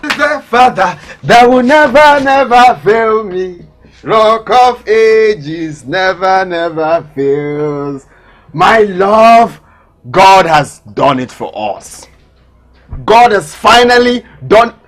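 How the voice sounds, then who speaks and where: An actor playing a devout, excitable young son, speaking expressively with a Nigerian Igbo accent and repeating words for emphasis. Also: male voice, mid-range voice, moderate pace, lightly acted. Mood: jubilant. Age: young adult. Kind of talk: emotional film drama acting.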